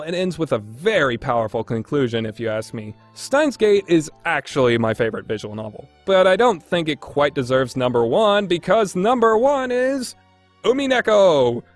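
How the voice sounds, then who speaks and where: A man delivering a scripted review commentary as a podcast host. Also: fairly high pitch.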